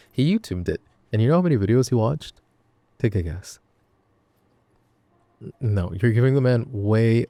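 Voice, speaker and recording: A man's voice: lowish voice, dark tone, no room sound. A young man playing a chatty, teasing college best friend in an intimate roleplay, rambling wryly and interrupting himself. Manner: rambling, wry, halting with self-interruptions. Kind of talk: intimate boyfriend roleplay audio, casual storytelling.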